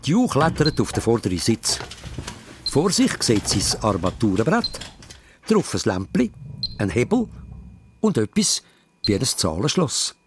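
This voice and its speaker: A man's voice: mid-range voice, huge pitch swings, unhurried, long pauses, noisy background. A man with a Swiss accent narrating a children's radio play as a dramatic storyteller. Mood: suspenseful, animated. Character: lively storyteller of a children's adventure.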